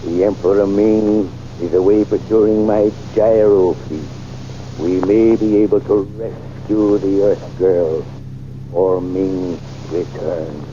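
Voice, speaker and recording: An actor in a 1930s radio serial playing a noble, bearded warrior prince who speaks slowly and gravely in archaic thee-and-thou speech. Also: male voice, sits low, steady tone, mellow, a little hiss or hum. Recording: dull old radio serial recording.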